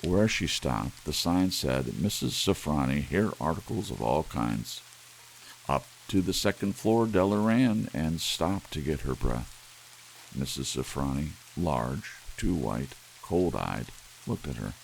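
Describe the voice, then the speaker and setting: Very deep, gravelly male ASMR storyteller. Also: a little slow, poor audio. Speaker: audiobook narrator. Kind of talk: soft ASMR storytelling narration. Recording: steady rain hiss behind the voice. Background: soft rain.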